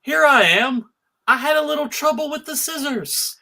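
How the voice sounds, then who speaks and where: A man with a creaky voice playing a hapless, earnest cartoon boy at a live table read. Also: very high voice, lively intonation, moderate pace, bright, forceful tone, exaggerated voice. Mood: resigned.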